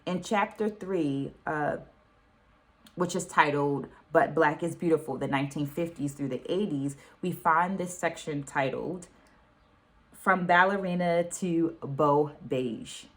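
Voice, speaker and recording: A middle-aged woman with a low-pitched voice shares reading takeaways with her viewers. She speaks as an educator would, articulate and deliberate, often restating a phrase to stress it. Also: fairly bright tone. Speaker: book reading vlogger, dancer and educator. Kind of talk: reflective book-takeaway vlog, semi-scripted talk to viewers.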